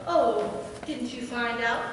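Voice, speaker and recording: A woman's voice: fairly high pitch, slow. A woman playing a sly, vague and stubborn elderly mother, airy and contrary, in a stage comedy. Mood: airily sly. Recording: echoey hall from far off, faint noise.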